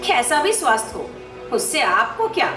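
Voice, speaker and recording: A woman's voice: on the high side, sing-song, normal speed. A woman playing a proud, stubborn queen, speaking in an imperious, theatrical manner in a mythological drama. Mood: cold, demanding. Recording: echoey, distant playback with loud music.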